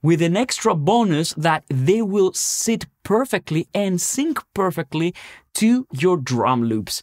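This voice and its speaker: Higher-pitched man presenting a studio tutorial. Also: a little mellow, dry recording. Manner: explanatory, step by step. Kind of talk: conversational music production tutorial. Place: small home-style music studio, indoors.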